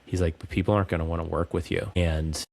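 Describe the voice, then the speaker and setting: A man in his forties, a coach and speaker, telling his story candidly and in a measured way as a podcast guest. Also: sits low, flat delivery, vocal fry, darkish tone. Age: 40s. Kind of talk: reflective podcast interview.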